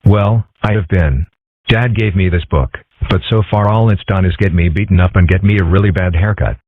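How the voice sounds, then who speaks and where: Casting: a man doing a deadpan cartoon animal guy, husky, muffled lo-fi recording. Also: sits low, moderate pace. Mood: wry. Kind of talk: comic strip dub. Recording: muffled phone-like band.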